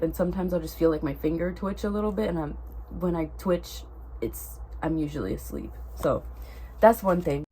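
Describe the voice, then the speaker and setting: A woman's voice: lowish voice, darkish tone, a little hiss or hum, low-quality recording. A young woman vlogger, congested with a cold, chatting to camera in a rambling way that keeps correcting itself. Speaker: spiritual vlogger. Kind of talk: casual talk to camera.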